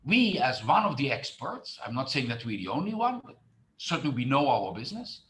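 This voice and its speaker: A man's voice: medium pitch, big pitch swings, a little fast, a bit bright, a little hiss or hum. A middle-aged man from the Netherlands, a tourism marketing expert who speaks with many fillers and restarts in an online presentation.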